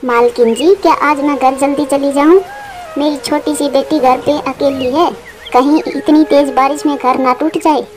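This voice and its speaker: A woman's voice: high-pitched, steady tone, moderate pace, faint music behind, lightly acted. A woman voicing an anxious, devoted young widowed mother bird in a cartoon, with an earnest, pleading way of talking. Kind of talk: Hindi moral-story cartoon dialogue.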